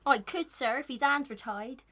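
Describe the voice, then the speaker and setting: A woman's voice: high-pitched, normal speed, fairly bright tone. A woman playing a scrappy, cheeky little schoolboy in a Victorian melodrama, protesting in rustic urchin grammar. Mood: pleading. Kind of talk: Victorian melodrama audio acting.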